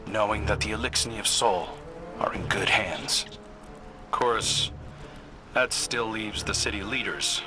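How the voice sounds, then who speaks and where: A man voicing an earnest, easygoing hunter commander in a video game, conversational and reflective. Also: fairly low pitch. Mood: wry, resolute. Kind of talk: video game character voice message. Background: music.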